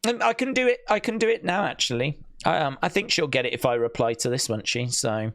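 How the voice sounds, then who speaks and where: A man with an average-pitched voice hosts an informal review show. He talks in a chatty, casual way, moving between reading out comments and adding his own jokey asides. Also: animated, a little fast, a bit bright. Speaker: wrestling review show host.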